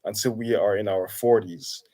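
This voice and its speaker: A man's voice: fairly low pitch, steady tone, mellow. A man in his thirties from Kenya, a guest in a casual conversation, talking thoughtfully with many halts and restarts. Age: 30s. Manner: halting, many restarts and fillers. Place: Denmark.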